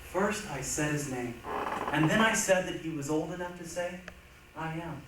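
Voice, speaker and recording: A man's voice: fairly high pitch, moderate pace, lightly acted. A man performing a reader's theatre monologue as a reflective, earnest man recounting his memories. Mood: solemn. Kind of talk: staged dramatic monologue reading. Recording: very echoey, noisy hall, distant mic.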